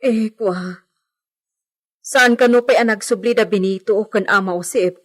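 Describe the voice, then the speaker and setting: A woman's voice: medium pitch, moderate pace, natural voice. A woman playing an anxious, deferential village servant in a radio drama. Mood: anxious, pleading. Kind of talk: Ilocano radio drama acting.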